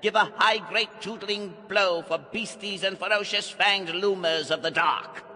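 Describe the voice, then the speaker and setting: Spooky, excitable ringleader for audio drama: raised pitch, measured pace, exclamatory and theatrical. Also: male voice, exaggerated voice. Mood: sly, playful. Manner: exclamatory, declamatory, urging. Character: excitable, theatrical male ringleader guide, eerie and gleeful.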